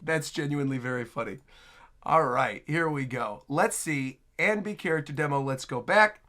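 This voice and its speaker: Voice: mid-range voice, moderate pace, fairly bright tone. A reaction video host giving casual, off-the-cuff commentary on game trailers. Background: music from the trailers.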